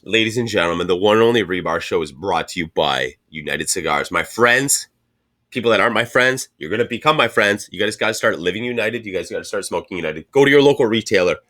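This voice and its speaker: A man in his thirties hosting a podcast, talking loudly and excitedly, with swearing and hype-heavy sponsor reads. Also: quick pace. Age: 30s. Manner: loud, hyped, swearing, pitching sponsors. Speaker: podcast host. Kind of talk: casual chat.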